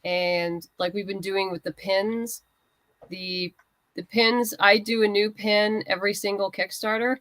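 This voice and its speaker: A woman's voice: mid-range voice, flat delivery, a little slow, fairly bright tone, no echo. A woman speaking as an interview guest, chatting casually as a creator talking up a project. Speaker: guest writer and comics creator. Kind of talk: casual interview chat, plugging a crowdfunding campaign.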